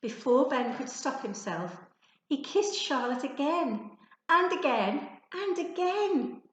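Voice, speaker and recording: A woman giving an expressive children's storybook narration, like a teacher reading to her class. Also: sits high, slow pace. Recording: echoey untreated room.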